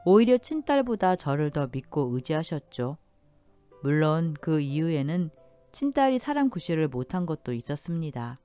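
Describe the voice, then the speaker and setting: A woman narrating a scripted first-person story in a gentle, polite storytelling manner. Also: deep voice, very animated, normal speed, clean tone, soft background music.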